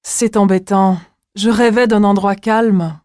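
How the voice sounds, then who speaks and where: A woman's voice: mid-range voice, fairly even intonation, slow pace, darkish tone, no room sound, studio quality, lightly acted. A woman playing a polite young woman in a scripted language-exam dialogue.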